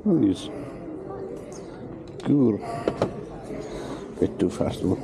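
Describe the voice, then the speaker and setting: British bloke vlogging, gravelly creaky voice, muttering to himself, noisy action cam sound. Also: male voice, on the low side, big pitch swings, very slow. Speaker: vlogger hunting for bargains to resell. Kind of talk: casual muttered commentary to himself while browsing. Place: car park outdoors, then large charity warehouse shop. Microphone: body-worn action camera. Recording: noisy action-camera audio, shop and street ambience.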